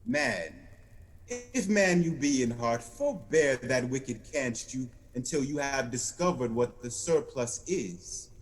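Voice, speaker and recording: A man's voice: mid-range voice, expressive, takes it slowly. A man playing a grave, stern Christmas spirit in a radio-style audio play, speaking in a declamatory way. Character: solemn, stern ghostly Christmas spirit guiding a miser.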